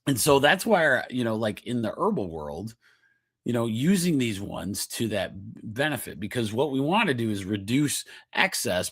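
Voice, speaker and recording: Nasal, husky-voiced chatty man. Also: on the low side, fairly bright tone, dry recording, clean studio sound. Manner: chatty, digressive, laughs often, checks in with "okay?". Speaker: chiropractor podcast host. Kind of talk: casual health talk.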